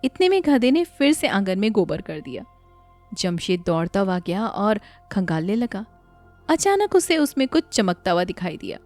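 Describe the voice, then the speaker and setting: A woman's voice: mid-range voice, big pitch swings, normal speed, a little mellow. A woman narrating a scripted moral story in a dramatic storytelling style. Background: faint music.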